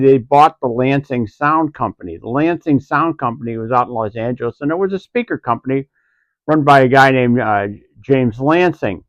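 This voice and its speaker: Male co-host, mid-pitched, unscripted and halting explainer, close dry room sound. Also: dry recording. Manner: halting, pieces phrases together conversationally. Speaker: co-host, vintage audio enthusiast. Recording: dry, slightly dull recording.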